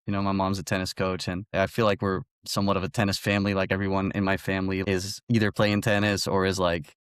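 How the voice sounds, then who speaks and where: Man, early twenties, from Belgium, low voice, very flat intonation, casual interview storytelling. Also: fast, smooth, no room sound, studio quality. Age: early 20s. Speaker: college golfer, interview guest.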